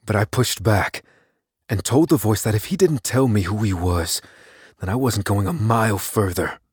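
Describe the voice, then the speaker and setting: A man playing a tense but steady lead character who narrates an audio drama in a measured, dramatic way. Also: fairly low pitch, breathy edge, no room sound, studio quality. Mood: tense, wary. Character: unassuming armed man hunting a killer, tense but steady.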